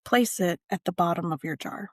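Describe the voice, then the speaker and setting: A woman narrating a scripted guided meditation and ritual in measured, deliberate phrases with frequent pauses. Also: lowish voice, dry recording, clean studio sound.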